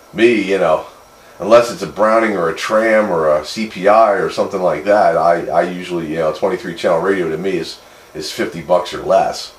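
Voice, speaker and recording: A man chatting casually and matter-of-factly as host of a swap meet over CB radio. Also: deep voice. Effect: CB radio transmission. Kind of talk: casual two-way radio chat. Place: voice heard over CB radio with faint noise. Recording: roomy, faintly noisy AM CB radio.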